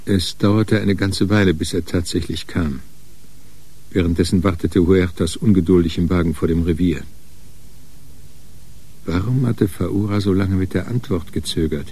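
Very deep, gravelly male crime narrator. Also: steady tone, warm tone, slight background noise. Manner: measured, sober storytelling. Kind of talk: scripted narration of a crime radio play.

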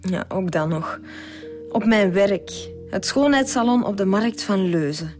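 A guarded young woman acted by an actress in a radio play, answering questions in a middle-pitched voice. Music is clearly audible underneath. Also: animated, a little slow, a little mellow, close to an everyday voice. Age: young adult. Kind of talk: radio drama acting.

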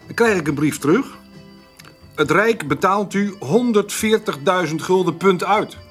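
A man playing a stubborn, homely farmer in a naturalistic radio drama, speaking haltingly and repeating his words. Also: high-pitched, a bit bright, faint music behind. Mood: hesitant, confiding. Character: stubborn, plain-spoken farmer, hesitant and homely.

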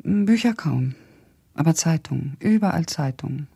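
A woman's voice: sits low, lively intonation, moderate pace, creaky, warm tone, slightly rough, full character voice. An actress playing an educated young Russian woman who recalls events reflectively and precisely in a radio drama. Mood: sober. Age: young adult. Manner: reflective, precise, descriptive storytelling. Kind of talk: radio drama monologue as recollection.

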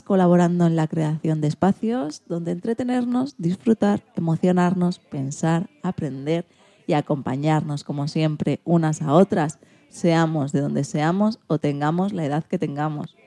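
Spanish woman presenting, mellow lowish voice. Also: a little slow, no echo. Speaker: host. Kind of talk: scripted programme presentation.

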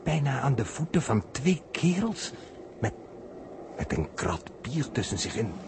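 Male onlooker, old radio play. Puzzled, wondering, expressive melody, faintly noisy recording. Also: medium pitch, unhurried. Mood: astonished. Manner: wondering, broken dramatic phrasing. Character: astonished male observer, puzzling over strange sights. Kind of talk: 1960s Dutch radio play acting.

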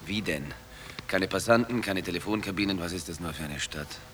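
An actor playing a wary, dry-witted chess lover who stays guarded and understated in a radio drama. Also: male voice, sits low, fairly quick, fairly bright tone, slight background noise. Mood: annoyed, wary. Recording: prominent music behind the voice.

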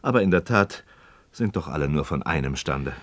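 An actor playing a disillusioned, impassioned Freemason novice in a philosophical radio play, speaking in an aggrieved, rhetorical, declamatory way. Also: male voice, a bass voice, expressive, moderate pace, fairly bright tone, dry recording. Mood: bitter, indignant. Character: disillusioned, impassioned male Freemason novice questioning his friend. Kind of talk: mid-century German radio play acting of philosophical dialogue.

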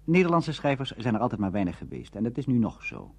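A man's voice: mid-range voice, wildly swooping pitch, fast, gravelly, breathy edge. A man presenting a radio documentary, reading scripted narration in a formal register. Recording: dull lo-fi archive radio recording.

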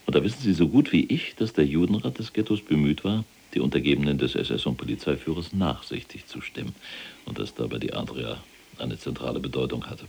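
Proud old-regime ss general in prison, super deep husky voice, clipped and self-justifying, radio drama. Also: male voice, expressive, a little fast, a little mellow, full character voice. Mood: insistent, condescending. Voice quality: gravelly, husky. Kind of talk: German radio drama acting.

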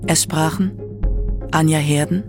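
A woman narrating a scripted literary radio feature with measured, dramatic emphasis. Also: low-pitched, steady tone, music in the background.

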